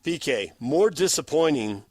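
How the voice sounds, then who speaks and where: A man's voice: fairly high pitch, very animated, measured pace, a little mellow, no room sound. An American man working as a sports radio host, interviewing guests and reading promos. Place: radio studio.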